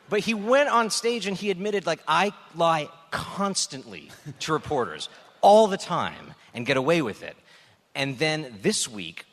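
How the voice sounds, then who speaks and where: Animated, wry man in an echoey hall. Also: on the high side. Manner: wry, exasperated, acts out mock quotes. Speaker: political journalist panelist. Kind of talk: animated political rant on a panel. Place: stage in a live venue. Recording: echoey hall live recording.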